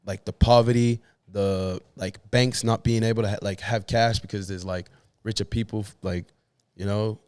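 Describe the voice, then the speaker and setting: A man's voice: on the low side, fairly even intonation, moderate pace, a little mellow, clear, resonant tone, no room sound. A man, a podcast guest talking casually and thoughtfully, often restarting and repeating words as he searches for how to put things. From Australia. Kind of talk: casual conversation.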